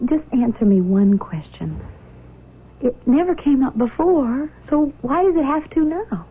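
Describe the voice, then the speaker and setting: An actress playing a shaken but loving nineteen-year-old daughter in a 1970s radio drama, halting and exclaiming. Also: female voice, sits high, animated, slight background noise. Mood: tender, shaken. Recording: dull lo-fi old radio broadcast.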